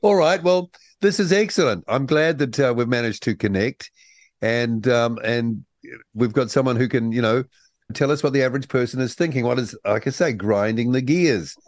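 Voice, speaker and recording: A man's voice: mid-range voice, normal speed, no room sound, clean studio sound. A man hosting a radio talk show, jokey and playful, who acts out other people's voices when he tells a story. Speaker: radio host.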